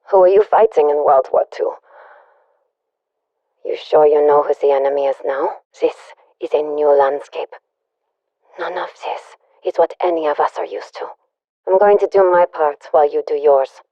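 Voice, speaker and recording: German woman spy, deep-toned, secretive, wry, confiding, video game audio log, muffled radio sound. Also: no echo. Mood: sardonic, probing, then sober, sincere. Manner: wry, confiding, dryly joking. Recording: dull lo-fi radio recording.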